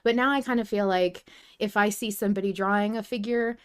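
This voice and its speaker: A woman's voice: mid-range voice, fairly even intonation, brisk, smooth. A woman co-hosting a podcast in casual discussion, enthusiastic and candid, with casual swearing. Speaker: podcast co-host.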